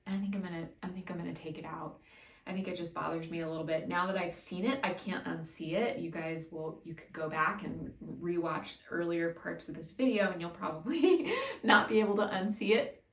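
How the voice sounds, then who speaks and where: A woman's voice: on the low side, a little fast, a bit bright. A woman raised in Japan talks casually as a photographer in her studio, musing aloud in an informal, chatty vlog style. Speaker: photographer presenting a studio video. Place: indoor studio. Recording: roomy, distant mic, music behind.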